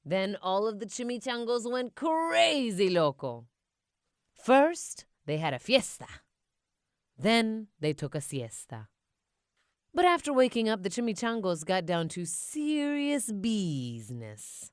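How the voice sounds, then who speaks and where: Animated woman reading a children's storybook. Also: mid-range voice, slow pace, no room sound, clean studio sound. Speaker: children's audiobook narrator. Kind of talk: expressive storybook narration.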